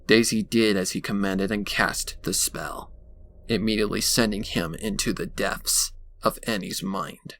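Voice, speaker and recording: Male narrator, lowish pitch, forceful edge, dramatic horror storytelling, faint background noise. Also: fairly even intonation, measured pace.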